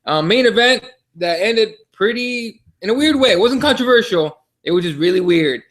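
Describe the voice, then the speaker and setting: Male podcast co-host, higher-pitched voice, casual sports chat, unpolished and repetitive delivery with swearing. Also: expressive, normal speed, a bit bright.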